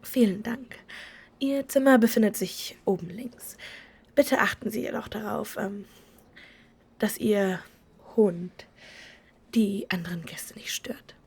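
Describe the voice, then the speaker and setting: Female innkeeper, extremely breathy, slow hesitant pace, courteous, flustered, audio drama. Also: mid-range voice, darkish tone, full character voice. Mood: polite. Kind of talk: cinematic audio roleplay.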